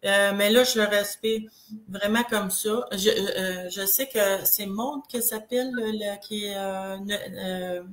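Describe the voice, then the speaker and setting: A woman's voice: medium pitch, fairly even intonation. Congested Québécois woman. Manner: rambling, halting, full of restarts. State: has a cold, congested. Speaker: guest sharing product testimonial. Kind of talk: casual live chat.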